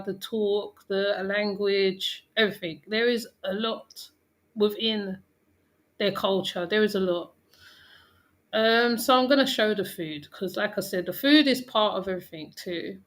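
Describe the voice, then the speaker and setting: A woman's voice: medium pitch, moderate pace, a bit bright. A woman hosting a reaction video, talking in a chatty commentary style with frequent restarts. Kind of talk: casual commentary.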